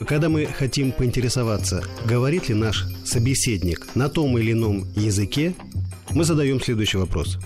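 A man presenting a scripted language lesson, repeating phrases slowly and clearly. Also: medium pitch, animated, over music. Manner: slow, clear, drilling phrases.

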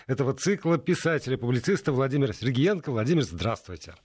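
Radio host, male, mid-pitched voice, dry studio sound, informal, slightly halting delivery. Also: sing-song, darkish tone, slightly rough, no echo. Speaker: radio presenter. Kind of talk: live radio hosting, conversational.

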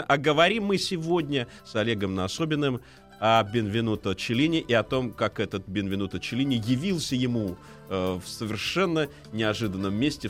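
A man hosting a live radio talk show in speech, conversational and animated, repeating phrases for emphasis. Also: fairly low pitch. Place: radio studio.

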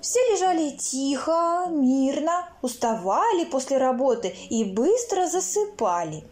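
A woman reading her own children's stories aloud in an expressive, theatrical way, acting out sounds and characters. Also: high-pitched, unhurried. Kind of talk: expressive children's storybook narration. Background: music.

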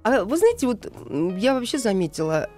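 A middle-aged woman giving thoughtful, halting interview answers as a guest, with emphatic phrases. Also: medium pitch, sing-song, a little mellow, faint music behind. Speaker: guest, animation film director.

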